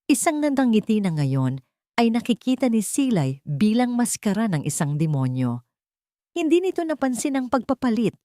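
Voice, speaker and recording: A woman narrating a scripted story in a deliberate, suspenseful, dramatic way. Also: on the high side, sing-song, dark tone, no echo, studio quality.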